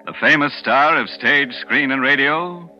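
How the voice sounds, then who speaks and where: A man's voice: mid-range voice, a little slow. A man hosting a 1940s radio horror show, with sardonic, macabre humor. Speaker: host. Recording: dull old radio broadcast with music.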